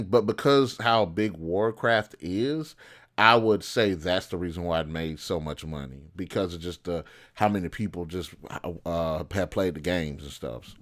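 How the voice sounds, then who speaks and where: A man hosting a film review show, giving casual, opinionated commentary in an informal, slangy, blunt way. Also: fairly low pitch.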